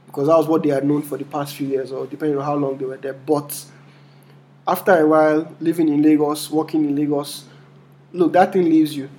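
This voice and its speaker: Young Nigerian male co-host, higher voice, relaxed, filler-heavy delivery. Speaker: podcast co-host. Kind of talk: casual podcast chat.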